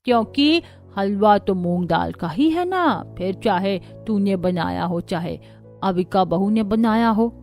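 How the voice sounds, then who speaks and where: A woman voicing a peace-keeping mother-in-law in a cartoon, speaking in a measured, placating way. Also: medium pitch, lively intonation, darkish tone, soft background music, natural voice.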